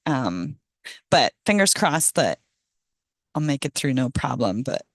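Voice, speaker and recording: Hoarse woman giving a talk. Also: very deep voice, sing-song, unhurried, no echo, clean studio sound. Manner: casual, chatty, laughs often, many ums. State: hoarse from a cold. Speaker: guest speaker, ecologist. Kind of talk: online meeting presentation.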